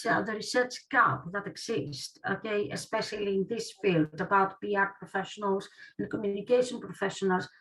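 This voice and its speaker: A woman from Greece with a Greek accent, an academic presenting online in a halting way, often tagging phrases with "okay". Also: mid-range voice, bright, forceful tone, rough recording. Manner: halting delivery, often punctuated with "okay" as a tag. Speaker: academic researcher, guest speaker.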